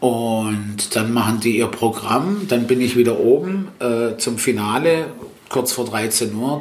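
A middle-aged man whose first language is Italian, working as a festival-tent jester and stage host, answering interview questions in a rambling way, full of fillers, self-corrections and a tag "ne?". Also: fairly low pitch. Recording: roomy echo with faint background noise.

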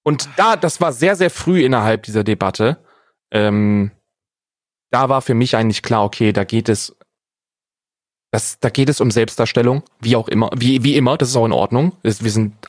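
A man's voice: medium pitch, normal speed, no room sound, clean studio sound. A young man talking casually and with strong opinions as a podcast co-host, repeating phrases for emphasis.

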